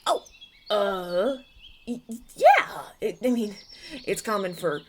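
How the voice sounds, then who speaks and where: A man's voice: high-pitched, slight background noise. A man playing a flustered, polite young human prince in a fantasy audio roleplay, stammering and awkward. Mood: relieved, awkward. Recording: outdoor nature ambience behind.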